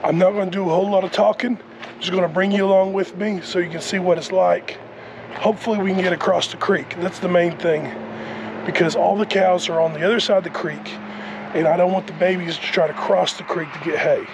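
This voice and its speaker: A man in his thirties who has lost his voice to a cold, talking hoarsely and haltingly to a camera held at arm's length on a rainy farm. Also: high voice, steady tone. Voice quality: hoarse, strained. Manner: plain, halting with fillers. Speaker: farm vlogger. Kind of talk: casual vlog talk to camera. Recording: noisy outdoor recording.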